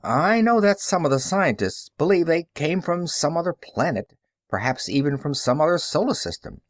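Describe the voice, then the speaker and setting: A man's voice: a little high, very animated, normal speed, no room sound, studio quality, pitched above the performer's own voice. A man voicing a young male newspaperman in an audiobook, earnest, skeptical and matter-of-fact, explaining with emphasis. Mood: earnest, grave.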